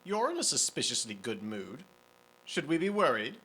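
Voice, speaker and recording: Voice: mid-range voice, big pitch swings, moderate pace, fairly bright tone. An actor playing a sardonic, flirtatious demon in an audio drama, with arch, teasing and formal phrasing. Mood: playful, suave. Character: sardonic, flirtatious, theatrical demon lover, worldly and weary.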